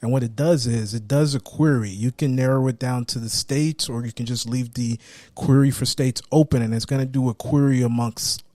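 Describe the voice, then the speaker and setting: A man's voice: mid-range voice, fairly even intonation, normal speed, mellow, no echo, studio quality. A man co-hosting a podcast, casually showing and explaining things in an informal, rambling way. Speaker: podcast co-host presenting website picks. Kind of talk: casual show-and-tell.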